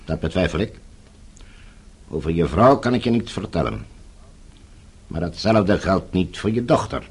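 An actor in a radio play voicing a cold communist agent, measured and quietly threatening. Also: male voice, sits low, lively intonation, slightly rough, heavily acted. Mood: coolly threatening. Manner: controlled, measured, veiled threat. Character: cold, menacing male communist state agent interrogating old acquaintance.

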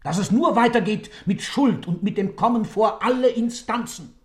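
An actor in a German radio play, playing an impassioned defendant who declaims a dark creed in fervent, rhetorical speech. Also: male voice, high-pitched, moderate pace, close to an everyday voice.

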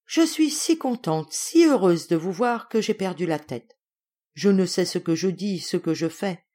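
A woman's voice: mid-range voice, sing-song, moderate pace, a little mellow, voice pushed up. A woman voicing a domineering middle-aged noblewoman, imperious and effusive, in a storybook reading. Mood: gushing. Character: domineering, gushing middle-aged Russian noblewoman, scolding and flattering.

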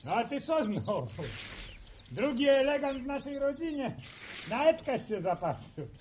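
A man's voice: very high-pitched, very animated, moderate pace, darkish tone, slight background noise. A man playing a good-natured, practical young farmer and older brother in a radio drama, with folksy hesitant phrasing. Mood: mock gruff.

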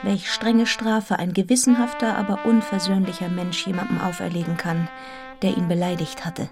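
Radio play narrator female actor: first-person heroine, lower register, measured dramatic reading, clear trombone music underneath. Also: fairly even intonation, close to an everyday voice. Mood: hurt, reflective. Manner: measured, intense, in clipped literary phrases. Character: reflective heroine narrating her own story. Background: brass music with trombone.

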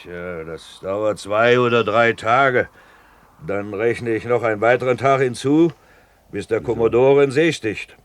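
An actor playing a grizzled, blustering grey-haired merchant captain in a radio drama, with a hoarse, salty, emphatic delivery. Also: male voice, mid-range voice, a little slow, close to an everyday voice. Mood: businesslike, hearty. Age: older. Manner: bawling, emphatic, salty storytelling. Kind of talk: 1970s German radio drama acting.

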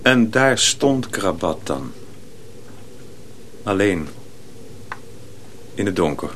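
A man narrating a scripted story dramatically, with a deliberate and suspenseful delivery. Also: deep voice, sing-song, a bit bright, a little hiss or hum.

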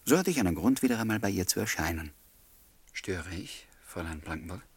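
A man's voice: low voice, animated, fairly quick, slightly rough, dry recording. An actor playing a smitten, earnest male writer, gallant and musing, in a German radio play. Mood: musing, confiding.

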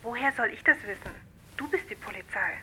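Brisk, pushy young sister, piercing high voice, radio drama phone call. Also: female voice, expressive, pushed and bright, slight background noise. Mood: urging. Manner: brisk, nagging, persuasive. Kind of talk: naturalistic radio drama acting.

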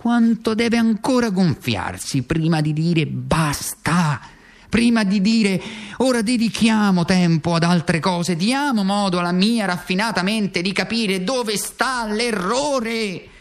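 An actor playing a tormented, self-accusing worn-out communist in a theatrical radio monologue, halting and repeating phrases for emphasis. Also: male voice, high-pitched, expressive, creaky, full character voice. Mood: impassioned, pleading.

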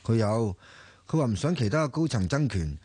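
Mild, hesitant Hong Kong Cantonese everyman for radio drama, mellow and dry-recorded. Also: medium pitch, very animated, normal speed, breathy edge, no echo. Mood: unsure, consulting. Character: honest, hesitant man fronting as company chairman.

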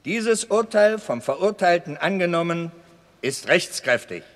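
High-voiced court advocate proclaiming. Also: male voice, lively intonation, unhurried, a bit bright. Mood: formal, emphatic. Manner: declamatory, precise, emphatic. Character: formal court advocate reading out a verdict. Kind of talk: German radio play acting. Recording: long reverberant hall.

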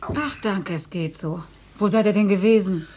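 An actress playing a meek, resigned housewife and mother in a radio play, speaking plainly and a little plaintively, slightly husky. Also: medium pitch, moderate pace, a little mellow, close to an everyday voice. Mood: dutiful. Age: 30s. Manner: plain, vague, mildly plaintive. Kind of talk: German radio play acting.

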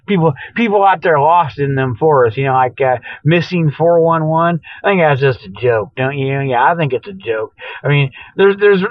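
A tired middle-aged man with a slightly high voice rambles through an improvised comic monologue. He is folksy and jokey, drifting in and out of mock sales pitches. The sound is close and dry, with a dull, lo-fi radio quality. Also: animated, moderate pace, no room sound. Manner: folksy, jokey, meandering, chuckles at own jokes. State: tired, weary. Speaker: host of a comedic Bigfoot show. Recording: dull lo-fi radio-style audio.

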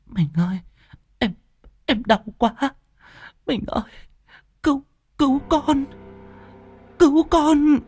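A man voicing a timid young village wife who stammers softly. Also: very high voice, animated, slow pace, pauses often, faint music behind, pitched above the performer's own voice, full character voice. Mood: pleading weakly. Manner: faltering, stammering and soft.

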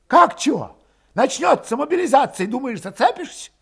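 Shrill, hot-headed young rebel. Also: male voice, wildly swooping pitch, moderate pace, vocal fry, a little mellow, full character voice. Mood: agitated, urgent. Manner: ranting, snapping, sputtering dialect speech. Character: hot-headed scornful young revolutionary man, excitable and shrill. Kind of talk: audiobook character voice.

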